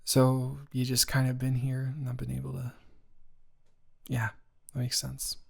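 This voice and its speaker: A man's voice: mid-range voice, monotone, gravelly, slightly rough, heavily acted. A young man playing a boyfriend's caring best friend in an improvised romantic audio roleplay, talking in a casual, stammering, awkwardly indignant way. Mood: appalled, sympathetic. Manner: halting, stammering, informal, repeats himself.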